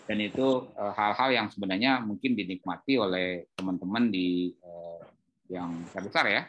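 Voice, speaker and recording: A middle-aged man giving an informal, animated explanatory talk on a video call, telling stories and acting out dialogue. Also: fairly low pitch, moderate pace, lo-fi sound, slight background noise. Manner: animated storytelling, acts out dialogue. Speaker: speaker at an export training webinar. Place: indoor room on a group video call.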